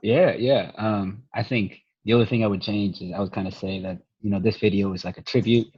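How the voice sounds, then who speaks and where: Husky, low-voiced man in a laid-back chat. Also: steady tone, a little fast, a little mellow, no echo, highs cut off. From Nebraska, United States. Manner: laid-back and easygoing, with fillers and casual swearing. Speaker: podcast co-host. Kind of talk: casual conversation.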